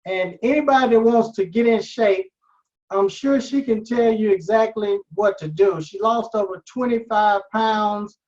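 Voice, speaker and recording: A man's voice: sits high, a little slow, a little mellow. An interview host, who is probably a man, opening the show with a scripted intro that turns loose and filler-heavy. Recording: distant, dull lo-fi sound.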